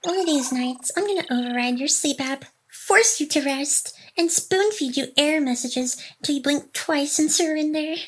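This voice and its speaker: A woman playing a high-voiced, teasing AI hacker girl. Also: moderate pace, hardly any pauses, close to an everyday voice, poor audio. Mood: earnest, protective. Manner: teasing, mock-aloof, sighing, addressing the listener directly. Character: playful, teasing female AI hacker girl, secretly smitten and protective. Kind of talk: ASMR roleplay, intimate one-sided scripted drama.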